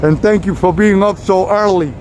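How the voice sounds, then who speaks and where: A man's voice: high-pitched, moderate pace, a little mellow. A man giving a rambling, casual walking tour to his viewers while walking down a city street. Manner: chatty, rambling, talks to viewers. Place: outdoors on a busy city street. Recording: noisy street recording. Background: street traffic noise.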